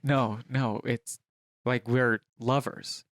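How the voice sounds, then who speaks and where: A man voicing a patient, earnest woman who explains herself haltingly in a comic story. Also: mid-range voice, little pitch movement, fairly bright tone, dry recording, clean studio sound, voice pushed down. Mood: awkward.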